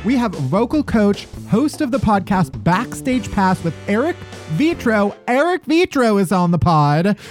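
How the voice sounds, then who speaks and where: A man's voice: high voice, very animated, unhurried, hardly any pauses, faint music behind. A man from Chicago hosting a podcast, joking in a playful, sarcastic way and swearing casually. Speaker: podcast host. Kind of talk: casual comedic chat.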